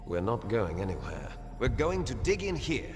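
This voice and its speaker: In a long reverb over loud music, a video game villain, a ruthless commander dreaming of world domination. His delivery is theatrical and commanding, with a lively, swinging pitch. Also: normal speed. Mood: resolute. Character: ruthless, grandiose male terrorist commander plotting world domination. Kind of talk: dramatic video game acting.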